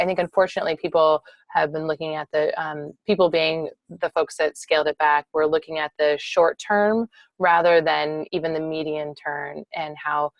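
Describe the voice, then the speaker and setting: A woman's voice: low-pitched, gravelly. A woman state representative explaining a bill at an online town hall, often restarting and correcting herself.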